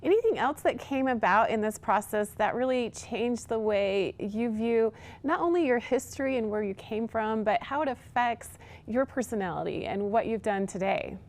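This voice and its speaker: A woman interviewing guests on television, asking measured, upbeat questions. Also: a little high, animated, darkish tone.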